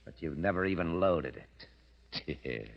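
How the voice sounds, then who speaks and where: An actor playing a cold, taunting mind-reading crook in a 1940s radio drama. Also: male voice, very deep voice, expressive, gravelly, exaggerated voice. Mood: taunting, confident. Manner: taunting, menacing, deliberate. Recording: muffled vintage radio recording.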